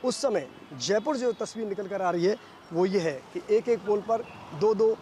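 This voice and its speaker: A high-pitched man reports live as a TV field correspondent, explaining and repeating points as he goes. He is outdoors, with faint traffic and street noise behind him. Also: moderate pace, warm tone. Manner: repetitive, explanatory. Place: outdoors in a busy market street.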